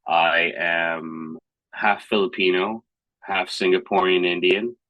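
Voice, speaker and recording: A man's voice: low voice, fairly even intonation, takes it slowly, no echo, lo-fi sound. A man in his thirties from Hong Kong, co-hosting a casual podcast chat in a rambling, hesitant, thoughtful way. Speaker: podcast co-host.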